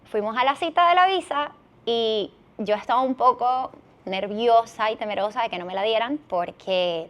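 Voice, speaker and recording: A woman in her thirties with a Venezuelan accent, telling a personal story conversationally as a podcast guest. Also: medium pitch.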